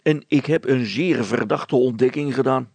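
Storybook constable, played by a male reader: stubborn, ponderous, suspicious, with a rough creaky voice. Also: medium pitch, moderate pace, a little mellow, no room sound. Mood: self-important. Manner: hesitant, ponderous, solemn. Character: stubborn, self-important male village constable, solemnly suspicious.

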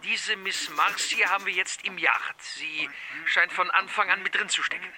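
A man acts out a police chief in a crime radio play. His voice is high for a man, brisk and matter-of-fact, with clear crowd noise around it. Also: fairly even intonation, natural voice. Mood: businesslike. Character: businesslike, courteous police chief reporting an arrest. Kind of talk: German radio crime drama acting.

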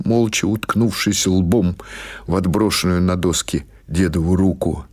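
A man reading literary prose as an audiobook narrator, in a measured, dramatic storytelling manner. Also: low voice, a little mellow.

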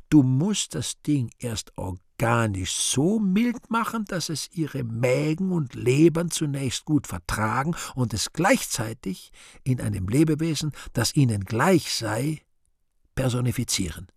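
An actor playing a brooding, vain, scheming devil who mutters to himself and breaks into exclamations in a theatrical radio play monologue, with a raspy, gravelly voice. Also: male voice, medium pitch, big pitch swings, unhurried, no room sound, studio quality, exaggerated voice. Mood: excited, plotting.

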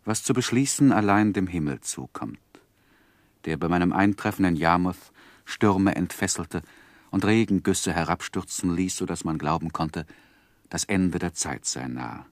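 A deep-voiced, sorrowful gentleman looks back on his life. His delivery is measured and solemn, like literary radio drama, with a dry, close sound. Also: dry recording. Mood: solemn grief. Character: grieving, reflective gentleman narrating his life story. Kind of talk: literary radio play narration.

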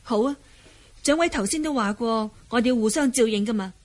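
A woman acting a high-voiced, shy young heroine. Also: a little fast, no echo, close to an everyday voice. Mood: bashful. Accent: Hong Kong Cantonese. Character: shy, innocent young heroine passing as a young man. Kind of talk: Cantonese radio drama acting.

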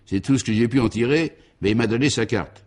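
A man's voice: medium pitch, fairly quick, a little mellow, lightly acted. Guy doing a servile hotel valet for a comic radio serial, super polite, rambles in circles. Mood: polite, hesitant. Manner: deferential, long-winded, circling phrases. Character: obsequious, wordy hotel valet, a male servant.

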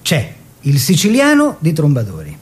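An actor playing an earnest male painter, lover and teacher of his model, in a measured, declamatory theatrical radio drama style. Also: on the high side, huge pitch swings. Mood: descriptive, lyrical.

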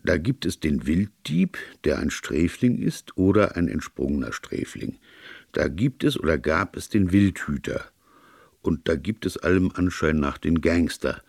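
A blunt police inspector, acted out by a male reader, incredulous and practical. His deep voice swings up and down with exasperation, in the manner of a crime audiobook. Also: very animated, unhurried, vocal fry, a little mellow, slightly rough, no echo, clean studio sound, full character voice. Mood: baffled. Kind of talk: audiobook character dialogue.

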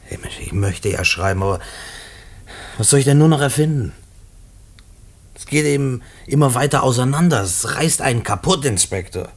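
An actor in a German radio play playing a gruff, despairing convict who speaks colloquially and haltingly. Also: male voice, medium pitch, expressive. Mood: despairing, agitated. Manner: colloquial, halting, repeating himself when agitated. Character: gruff, despairing convict writing letters to his mother.

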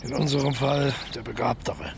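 A man's voice: medium pitch, lively intonation, moderate pace, gravelly, noisy background. A man acting a resigned, wry failed actor turned thief in a radio crime comedy, in an understated, naturalistic way.

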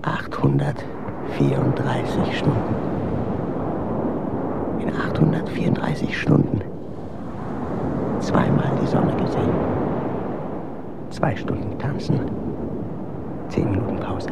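Exhausted man, brooding, murmured monologue, slow, swinging pitch, heavy noise, radio drama. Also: animated, creaky. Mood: weary, pleading. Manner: murmured, halting monologue, bitter. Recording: loud rumbling noise around voice.

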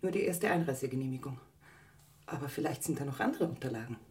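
A woman's voice: a bass voice, animated, fast talker, a little mellow. An actress playing a guilt-ridden, bitter middle-aged mother in a German radio drama, anguished and fearful in manner.

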